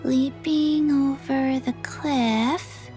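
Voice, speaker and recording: A woman voicing a small, innocent child girl in a video game, halting and deadpan in manner. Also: high-pitched, soft background music. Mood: puzzled.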